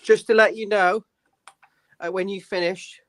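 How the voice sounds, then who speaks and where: A man's voice: high-pitched, fairly even intonation, measured pace, a bit bright. A man, a fan channel host, talking bluntly and heatedly and swearing freely. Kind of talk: announcement and fan rant.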